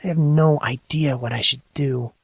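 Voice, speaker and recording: A woman playing a composed but troubled teenage high school girl in an audio drama, talking in a measured, explanatory way. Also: steady tone, gravelly, husky, no room sound, exaggerated voice. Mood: troubled, confiding.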